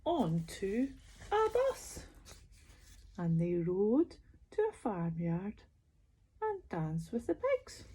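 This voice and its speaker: A woman storyteller reads a picture book to young children at bedtime. Her voice is mellow and husky, and she takes her time, talking to the listeners in an expressive, sing-song way. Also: mid-range voice, drawn-out words, pauses often.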